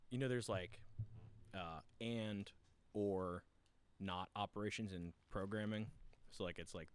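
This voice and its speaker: A man's voice: lowish voice, clean tone. A young man hosting a podcast, chatting casually, rambling through explanations and laughing often. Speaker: podcast host. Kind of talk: casual chat.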